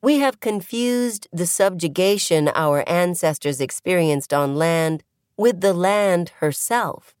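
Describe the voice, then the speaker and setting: Low-voiced woman narrating an audiobook. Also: lively intonation, darkish tone, clean tone, dry recording, studio quality. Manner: measured, deliberate phrasing with pauses. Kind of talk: scripted audiobook essay narration.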